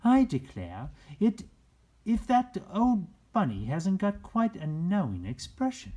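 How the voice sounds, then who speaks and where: A man voicing a brisk, grumbling woman nanny from a storybook, fussy and exclaiming. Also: high-pitched, huge pitch swings, mellow, pitched above the performer's own voice, heavily acted. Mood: surprised.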